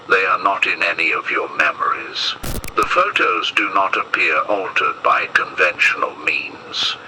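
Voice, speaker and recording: Male narrator for analog-horror warning tape: very low voice, grave, measured, lively pitch movement. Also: slight background noise, low-quality recording.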